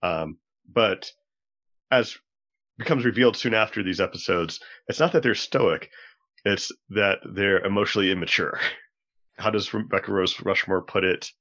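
A man's voice: deep voice, moderate pace, a bit bright. A man on a podcast panel explaining ideas analytically, piecing them together as he goes, on slightly lo-fi audio.

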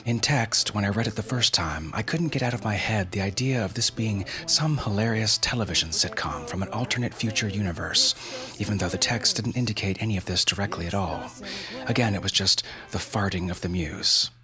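A man's voice: fairly low pitch, brisk. A man giving scripted, explanatory narration as a podcast host and producer.

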